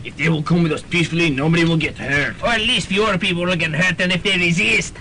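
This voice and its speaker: An actor playing an officious foreign agent and kidnapper, pompous and commanding. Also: male voice, fast, bright, forceful tone. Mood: commanding, threatening. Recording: faintly noisy student radio recording, rumble.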